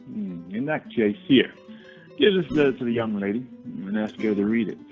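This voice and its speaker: A man plays an earnest gentleman suitor in radio drama. His voice has a gravelly creak, and he talks in formal, wordy, slightly condescending phrases. The recording is narrow like a phone line, with faint music behind. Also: medium pitch, big pitch swings, normal speed. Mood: brisk. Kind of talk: radio play acting.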